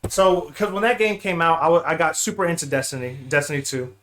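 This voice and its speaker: A man's voice: a little high, animated, fast talker, fairly bright tone. A man chatting casually as a podcast guest, slangy and repetitive, often saying bro and man. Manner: slangy, repeats himself, peppers talk with bro and man. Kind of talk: casual gaming chat.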